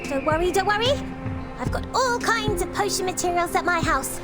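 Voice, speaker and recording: A woman voicing a bright, excitable young girl in a video game, with a girlish, exclaiming delivery. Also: fairly quick, loud music behind the voice. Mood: chirpy, concerned. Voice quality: high, girlish. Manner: animated, exclaiming.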